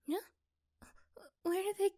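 A woman playing a gentle, affectionate young girlfriend in an ASMR roleplay, speaking softly and hesitantly with tender pauses. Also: natural voice. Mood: amazed. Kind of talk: ASMR roleplay, intimate one-sided dialogue.

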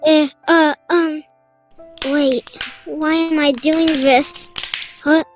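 A woman's voice: sits high, flat delivery, very slow, over music. A woman voicing a shy, flustered little boy in an anime fan comic dub, hesitant and stammering.

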